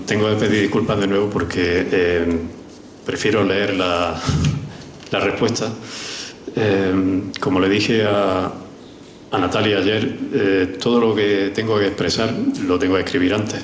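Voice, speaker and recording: A man's voice: deep voice, little pitch movement, unhurried. A man, a guest writer on a panel, apologizes and hesitantly starts reading a prepared answer. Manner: self-deprecating, hesitant. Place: lecture hall. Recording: echoey, off-mic, faint noise.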